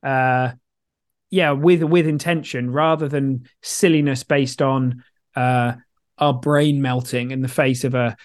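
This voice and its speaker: A man's voice: mid-range voice, fairly even intonation, slow. A man co-hosting a podcast, a coach who talks in a rambling, chatty way. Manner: rambling, chatty, self-deprecating. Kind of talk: casual podcast chat.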